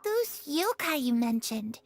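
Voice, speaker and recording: A woman's voice: sits high, sing-song, slow, full character voice. An actress voicing a cheerful, curious young girl in a video game, eager and chatty in her way of talking. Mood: excited, curious. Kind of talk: animated video game voice acting. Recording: music under the voice.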